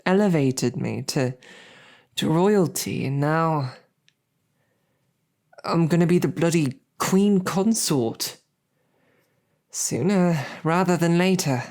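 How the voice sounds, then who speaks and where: An actress playing a smitten lowborn royal guard in an audio roleplay, with a halting, self-deprecating, earnest way of speaking. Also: female voice, animated, darkish tone, exaggerated voice. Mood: smitten, awkward. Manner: halting, self-deprecating, colloquial tag questions. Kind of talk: scripted audio roleplay, halting heartfelt banquet speech.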